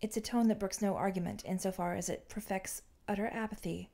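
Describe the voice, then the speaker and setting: A woman's voice: mid-range voice, fairly even intonation, a little fast, warm tone. A woman reading fan fiction aloud as scripted audiobook-style narration.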